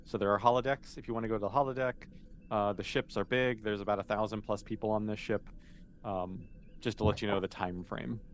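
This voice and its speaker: A man with a lowish voice acts as game master, explaining things informally as he narrates. The speech is casual and conversational. There is some faint noise in the recording. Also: steady tone, fairly quick.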